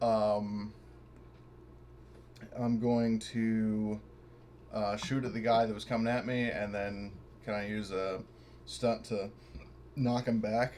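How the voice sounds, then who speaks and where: A man's voice: on the low side, flat delivery, slow pace, pauses often, darkish tone. A man playing a tabletop game, chatting casually and bluntly with plenty of swearing. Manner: foul-mouthed, blunt. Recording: clear background noise and ambience.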